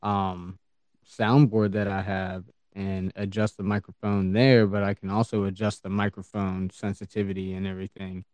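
A man's voice: low voice, level tone, moderate pace, a little mellow, no room sound. A man co-hosting a podcast, talking casually with many fillers and restarts. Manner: laid-back, full of fillers and restarts. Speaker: podcast co-host.

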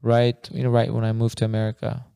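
A man's voice: medium pitch, completely flat delivery, fast, gravelly, warm tone, smooth, dry recording. A young man from Afghanistan, co-hosting a podcast in loose, rambling casual chat full of slang like bro and man. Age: young adult. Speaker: podcast co-host.

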